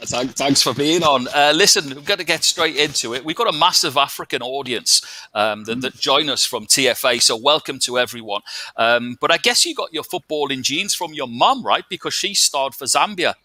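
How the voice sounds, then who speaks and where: A man's voice: mid-range voice, animated, moderate pace, pushed and bright, no room sound. A man hosting a football chat show, introducing segments and guests in an upbeat way. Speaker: host.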